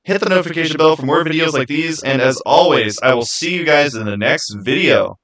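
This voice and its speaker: Rambling, halting young-channel streamer guy. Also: on the high side, a bit bright. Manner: rambling, halting, repeats phrases. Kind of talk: casual livestream chat to viewers.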